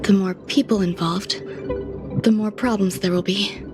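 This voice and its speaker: Woman voicing aloof genius scientist lady in a game, calm n formal, mid pitch, music behind her. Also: lightly acted. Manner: composed, formal, measured. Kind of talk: scripted game dialogue.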